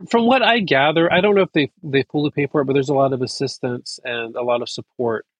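A man, raised speaking Persian at home, talking in an animated way with quick bursts and restarts as an interview guest. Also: mid-range voice, husky, no room sound, poor audio. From United States. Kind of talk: casual interview.

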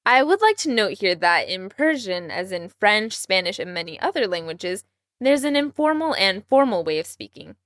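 A young woman raised in Texas, speaking with a Texan American accent, hosting a podcast language lesson with clear, careful pronunciation. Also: mid-range voice, very animated, moderate pace, fairly bright tone, no room sound, studio quality. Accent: American English, Texas. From Iran. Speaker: language teacher, podcast host.

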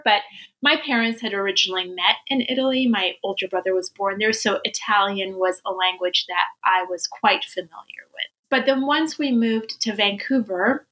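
A woman's voice: medium pitch, fairly bright tone, low-quality recording. A woman born in Iran with an American accent, a cookbook author chatting as an interview guest and laughing often. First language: Persian. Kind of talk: casual interview.

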